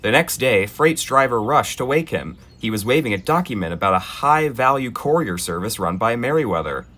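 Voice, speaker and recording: A man narrating in a gentle, expressive children's storybook style, with dry asides. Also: medium pitch, normal speed.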